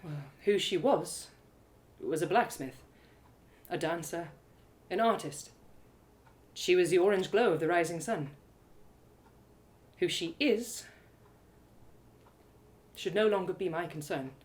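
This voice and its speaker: A woman's voice: lowish voice, slow pace, long pauses. A woman voicing a proud, spirited young female elf warrior who lectures emphatically in an audio drama. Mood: wistful.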